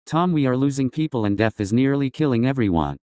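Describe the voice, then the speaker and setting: A man's voice: mid-range voice, expressive, fast talker, a little mellow, dry recording, clean studio sound, lightly acted. A man playing a grimly resolute official in a crisis drama, speaking in a grave, decisive way. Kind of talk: scripted emergency drama dialogue.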